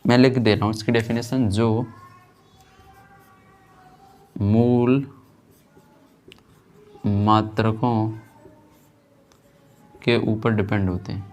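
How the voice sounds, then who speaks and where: A man's voice: fairly low pitch, steady tone, slow pace, pauses often, a little mellow. Man teaching, question and answer. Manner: didactic, asks and answers own questions, repeats key terms; frequent check-in tag after points. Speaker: physics teacher. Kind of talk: classroom lesson, explaining while writing on a board.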